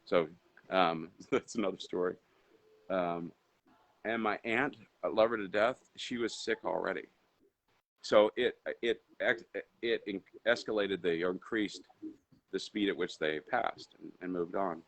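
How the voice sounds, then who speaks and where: A man's voice: low-pitched, a little slow, fairly bright tone. A man who grew up in Indonesia, with Indonesian as his first language, talking as a guest entrepreneur in a casual live interview over a video call. He rambles, with many fillers and restarts.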